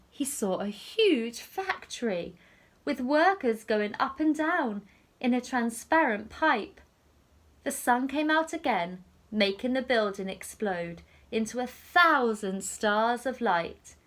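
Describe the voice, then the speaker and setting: A woman teacher reading a picture story aloud to young children in an expressive, deliberate storytelling manner. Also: on the high side.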